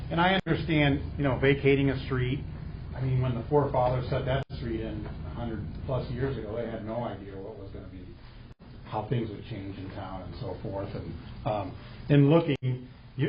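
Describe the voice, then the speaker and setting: A man presenting a proposal at a city council meeting, rambling with many restarts and fillers. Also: mid-range voice. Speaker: bank representative presenting a proposal. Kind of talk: public comment at a council meeting. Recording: echoey, dull council chamber audio with hum.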